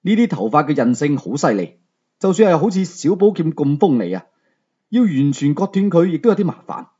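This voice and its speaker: Husky Hong Kong Cantonese male storyteller. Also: on the high side, very animated, brisk, warm tone, dull audio. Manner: conversational, colloquial storytelling. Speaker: audiobook storyteller. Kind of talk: dramatic first-person story narration.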